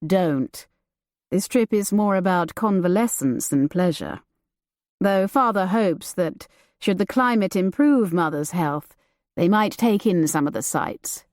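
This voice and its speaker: A woman voicing a reserved young gentleman, curt and dry. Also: fairly low pitch, expressive, measured pace, no echo.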